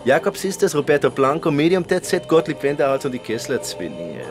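A man's voice: on the high side, big pitch swings, fairly quick, music in the background. A man playing a vain, cynical, foul-mouthed TV entertainer in a radio comedy interview sketch. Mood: cynical, dismissive. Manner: showy on air, dismissive and coarse otherwise.

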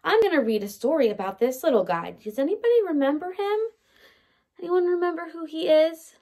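A woman in her thirties, a teacher reading a picture book aloud to young children in an animated way, with questions for them. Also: high voice, fairly quick. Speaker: early learning teacher. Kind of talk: children's story time read-aloud. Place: indoors by a large window in a room at home.

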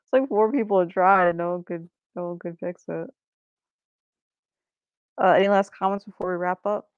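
A woman's voice: fairly low pitch, normal speed, clear, resonant tone, no echo. A woman hosting a casual book discussion over a video call. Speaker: discussion host. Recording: dull, lo-fi video call audio.

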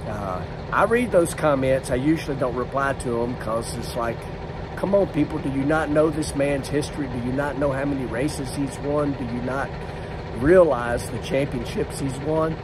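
Man, fifties, hoarse lost voice, excitable, phone vlog, noisy background. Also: medium pitch, moderate pace. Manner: excitable, laughing, lets out loud woos. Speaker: drag racing driver and vlogger. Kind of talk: casual vlog to camera. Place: inside a trailer or motorhome. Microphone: phone camera at arm's length.

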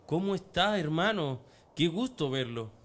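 Man acting an expressive, swooping devout deacon. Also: fairly high pitch, unhurried. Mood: joyful, welcoming. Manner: exclamatory, expressive. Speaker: radio drama actor. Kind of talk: amateur religious radio drama.

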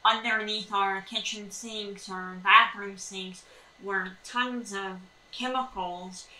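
An American woman explains household tips in a casual vlog style. Her voice is bright and clear-cut at a middling pitch. She sounds a way off from the mic in an echoey room, with faint noise behind her. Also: steady tone, slow, recorded from a distance. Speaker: homesteading vlog co-host. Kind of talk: explanatory chat to camera with tips. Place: indoors at a table in a small sunlit room by windows.

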